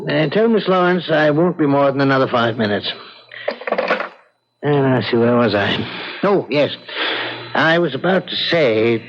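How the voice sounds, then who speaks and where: An actor playing a busy, courteous psychiatrist in a 1970s radio drama, polite, reasonable and a little flustered. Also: male voice, mid-range voice. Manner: polite, placating, slightly flustered. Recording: muffled 1970s radio broadcast recording.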